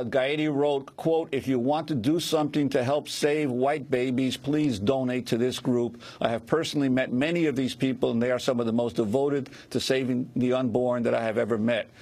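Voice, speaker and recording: Husky-voiced male news host. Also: mid-range voice, a little mellow. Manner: measured, reads from script. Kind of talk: broadcast news interviewing.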